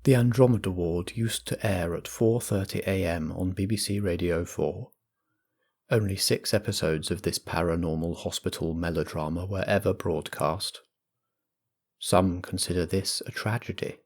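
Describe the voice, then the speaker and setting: A man hosting a role-play podcast as compere, with a measured, theatrical scripted delivery. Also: low voice. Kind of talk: scripted narration and introduction.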